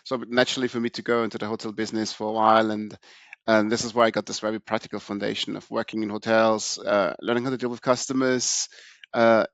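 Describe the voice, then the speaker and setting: A middle-aged man from Austria speaks in a low, gravelly voice, recounting his career in a relaxed, unhurried way. He often restarts phrases and fills pauses. The sound is dry and close, with no room echo. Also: dull audio. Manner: relaxed, many restarts and fillers. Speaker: podcast guest. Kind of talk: casual interview storytelling.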